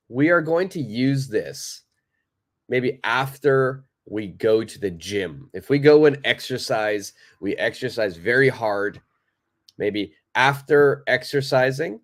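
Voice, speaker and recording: A man teaching English in a live online lesson, who speaks slowly and deliberately, repeats key words for his learners, and has a stuffed-up nose. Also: mid-range voice.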